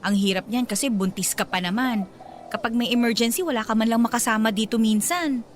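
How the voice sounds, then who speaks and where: An actress playing a concerned, outspoken younger sister in a Filipino radio drama, probing and expressive, with a Filipino accent. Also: female voice, fairly high pitch, fairly quick, slight background noise, natural voice. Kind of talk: Tagalog radio drama acting.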